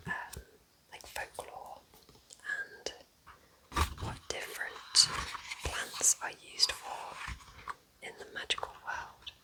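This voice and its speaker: A woman whispers breathily in a gentle, chatty ASMR roleplay as a kind witch at home in her cabin. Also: rough recording. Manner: gentle, chatty, informal asides.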